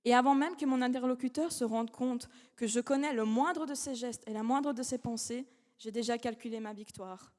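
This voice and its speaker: A young woman, a law student whose first language is not French, giving a formal competition speech with measured, dramatic pauses. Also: on the high side, a little mellow. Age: young adult. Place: large academic hall. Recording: long hall reverb.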